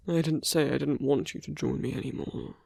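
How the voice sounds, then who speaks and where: A man playing a soft-hearted villain wooing his heroic enemy in an intimate ASMR roleplay, speaking slowly and deliberately in a breathy hush that often slips into whispers. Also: mid-range voice, no room sound, clean studio sound. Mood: earnest, gentle. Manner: slow, deliberate, hushed, often slipping into whispers. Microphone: close, dry microphone.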